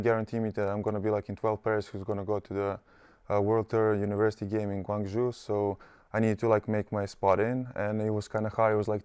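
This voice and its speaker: Serbian-accented young man, low flat voice. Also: clear, resonant tone, no echo. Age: young adult. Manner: hesitant, many "uh" and "like" fillers. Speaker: college basketball player, guest. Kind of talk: casual interview answers. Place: studio set.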